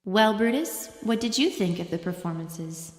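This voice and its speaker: A woman's voice: medium pitch, lively intonation, normal speed, faint music behind, natural voice. Imperious female judge, acted by a woman, theatrical, cutting, slight hall reverb. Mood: sassy, haughty. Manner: haughty, theatrical. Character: imperious sassy female TV talent show judge.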